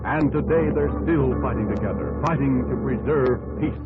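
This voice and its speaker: A man's voice: medium pitch, normal speed. An American man narrating a 1950s radio documentary-drama in an earnest, dramatic yet conversational manner. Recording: vintage radio broadcast, narrow band, loud music.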